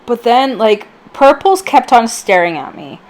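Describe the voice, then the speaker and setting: A woman's voice: on the high side, expressive, a little slow, a little mellow. A woman giving a casual, rambling, self-deprecating voice-over as a vlogger, her voice slightly off from allergies. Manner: informal, rambling, self-deprecating, filler-heavy. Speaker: vlogger, channel host. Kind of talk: casual chatty voice-over for a craft video.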